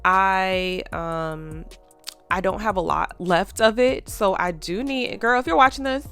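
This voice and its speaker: Woman nail vlogger, stuffed-up, chatty, smooth low voice, soft music behind. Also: measured pace. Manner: chatty, lots of fillers. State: congested, stuffy nose. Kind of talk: casual chat to viewers.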